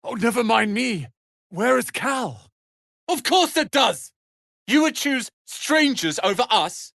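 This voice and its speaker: An actor playing a proud, bitter young tiefling wizard in a video game, speaking in a haughty, theatrical, sardonic way. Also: male voice, very high voice, animated, takes it slowly, creaky, a bit bright, no echo, studio quality, heavily acted. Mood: anguished, urgent. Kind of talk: video game character acting.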